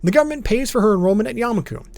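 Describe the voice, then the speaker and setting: A man's voice: high voice, animated, racing, gravelly, darkish tone, no echo. A man narrating an explainer video as its host, in an informal, matter-of-fact way, with music behind him. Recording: background music under voice.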